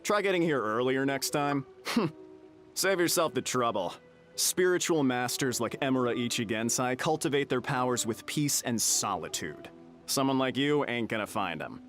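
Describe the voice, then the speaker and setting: A man's voice: medium pitch, animated, normal speed, a bit bright, natural voice. A man playing a dismissive, smug clinic doorman in a video game, brusque and condescending with slangy phrasing. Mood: mocking. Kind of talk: video game dialogue acting. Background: music.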